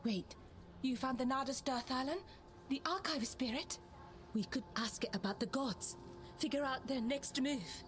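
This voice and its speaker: An actress playing a grave, dutiful female leader of a fantasy scout camp in a video game, serious and measured. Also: high-pitched, natural voice. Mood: surprised, urgent. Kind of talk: video game cutscene acting. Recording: game music behind.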